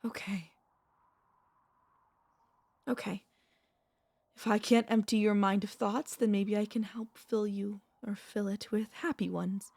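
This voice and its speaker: A woman playing a tender, anxious, possessive vampire mistress in an intimate ASMR audio roleplay, speaking in soft, formal, pleading phrases with dramatic pauses. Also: medium pitch, fairly even intonation, creaky, no echo. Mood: soothing.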